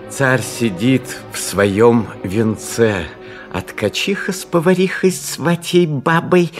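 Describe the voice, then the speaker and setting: A man narrating a fairy tale in verse, in a theatrical, declamatory manner. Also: medium pitch.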